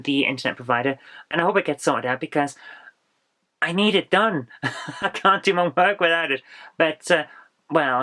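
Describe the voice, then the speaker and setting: A woman's voice: sits low, fairly bright tone. A woman vlogging from a small home office, her voice stuffy and bunged up from a cold. She talks in a loose, rambling way, circling back with fillers and restarts. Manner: rambling, chatty, with frequent ums and restarts. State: congested, bunged-up voice from illness. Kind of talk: casual vlog chat.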